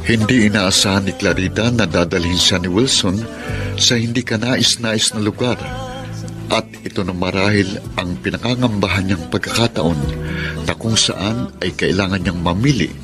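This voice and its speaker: A man's voice: lowish voice, moderate pace. A Filipino man reading a letter as solemn scripted storytelling for a radio drama. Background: prominent music.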